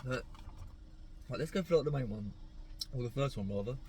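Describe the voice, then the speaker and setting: A man's voice: medium pitch, expressive, brisk, a little mellow, slight background noise. A young man talking casually to camera from his parked car, rambling and laid-back, with a sore throat that makes his voice crack. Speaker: car vlogger.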